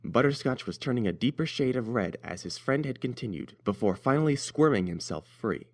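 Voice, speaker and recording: A man's voice: mid-range voice, lively intonation, a little fast, a little mellow, slight background noise. A man narrating a scripted story as its reader.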